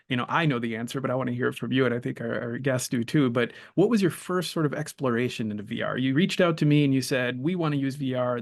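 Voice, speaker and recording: A man's voice: mid-range voice, gravelly, no echo, clean studio sound. A man hosting a panel discussion, asking long rambling questions full of hedges. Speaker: panel host.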